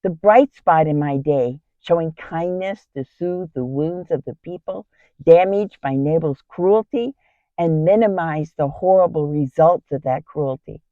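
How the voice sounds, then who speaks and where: A woman's voice: low-pitched, a little slow, dry recording, phone-quality sound. A woman playing a kind, brave biblical wife in an earnest, theatrical dramatic monologue. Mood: earnest, reflective. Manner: theatrical, earnest, emphatic storytelling. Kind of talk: dramatic first-person Bible monologue.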